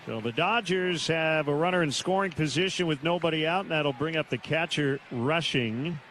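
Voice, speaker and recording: A man's voice: a little high, normal speed, a bit bright. A man doing live baseball play-by-play as a radio announcer from a stadium broadcast booth. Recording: clear noise behind the voice. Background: outdoor ambient stadium noise.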